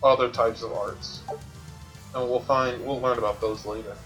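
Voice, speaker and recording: A man's voice: mid-range voice, fairly even intonation, normal speed, a bit bright, faint music behind, rough recording. Casual male gamer thinking aloud. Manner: thinks aloud, explains to himself. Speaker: video game streamer. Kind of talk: casual gameplay commentary, reading tutorials aloud.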